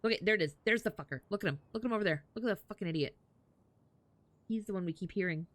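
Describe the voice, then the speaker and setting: A woman's voice: mid-range voice, very fast, a bit bright, no room sound. A woman reacting casually to a game on a live stream, chatty, blunt and sweary. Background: game music.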